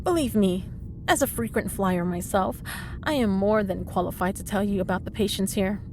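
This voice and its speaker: A woman's voice: mid-range voice, normal speed, background noise, natural voice. A woman playing a cheeky, smug female villain in a comedic audio roleplay, with breezy, sarcastic teasing. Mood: sassy banter. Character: cheeky, smug woman supervillain, frequent hospital patient.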